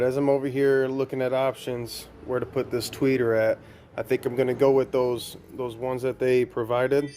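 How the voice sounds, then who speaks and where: A man's voice: mid-range voice, darkish tone. A man giving a casual hands-on tutorial, rambling a little as he thinks aloud while working. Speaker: DIY car audio vlogger.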